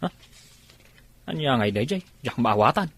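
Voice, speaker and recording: A man's voice: mid-range voice, expressive, moderate pace, fairly bright tone. A man playing a nosy, flirtatious male cousin in an Ilocano radio drama, with an Ilocano accent. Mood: puzzled, nosy.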